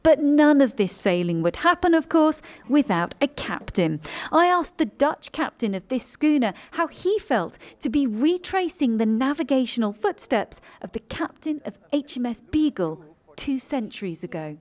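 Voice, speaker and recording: A woman reporting a news story in a scripted, lively narration. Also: sits high, moderate pace.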